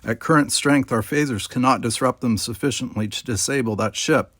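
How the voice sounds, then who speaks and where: A man voicing a composed male science officer giving measured, matter-of-fact technical reports in an audiobook. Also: mid-range voice, fairly bright tone, slightly rough, no echo, studio quality. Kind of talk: dramatized audiobook dialogue.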